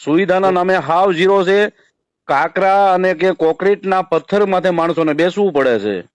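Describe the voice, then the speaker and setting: A man with a somewhat high voice and a Saurashtra Gujarati accent, recorded dry and close. He questions persistently and confrontationally, probing point after point as a caller demanding answers. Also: normal speed, no room sound. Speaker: caller challenging a shrine keeper.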